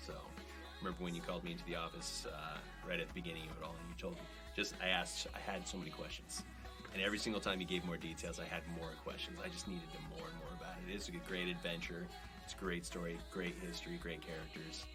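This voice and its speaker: A male podcast co-host with a deep, low-pitched voice. He banters casually and affably, sounding appreciative. Music is clearly audible behind him. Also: fast talker. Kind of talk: casual chat. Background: background music.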